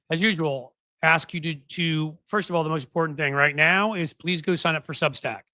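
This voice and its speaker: A man with a slightly high, bright, forceful voice hosts a casual livestream. He rambles, restarts his sentences and corrects himself as he goes, then presses his points with earnest rhetorical questions. The sound is close and dry, with no room echo. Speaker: livestream show host. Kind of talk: casual livestream talk to viewers.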